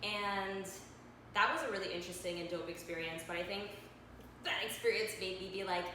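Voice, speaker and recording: A young woman from Manhattan, co-hosting a casual chat, animated and laughing, with lots of fillers. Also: medium pitch, fairly quick, very echoey, background noise. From Manhattan, New York. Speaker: co-host.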